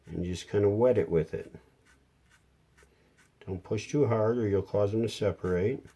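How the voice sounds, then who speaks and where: This is a man with a low, dark and relaxed voice. He talks casually through hands-on repair work, step by step. His delivery is plainspoken and unhurried, like a hobbyist's tutorial. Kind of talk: casual hands-on how-to narration.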